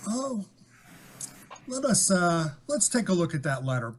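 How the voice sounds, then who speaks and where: Curt police inspector played by a man, lively pitch. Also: a little slow, slight background noise. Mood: curious. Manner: authoritative, curt. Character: brisk, businesslike male Scotland Yard police inspector. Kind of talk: old-time radio mystery acting.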